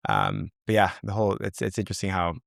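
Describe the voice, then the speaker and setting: Low gravelly young man, loose and rambling. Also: big pitch swings, fast, no echo, studio quality. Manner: loose, filler-heavy, rambling. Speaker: company founder, guest. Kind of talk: casual interview talk.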